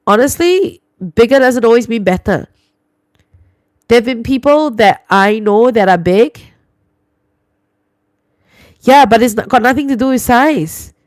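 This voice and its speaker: A woman's voice: on the high side, expressive, unhurried, pauses often, no echo, clean studio sound. A young woman with a Singaporean English accent, chatting casually on a livestream and reacting to viewer comments. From New Zealand and Singapore. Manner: chatty, reacts aloud to comments, sprinkles lah and lor. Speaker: livestream host.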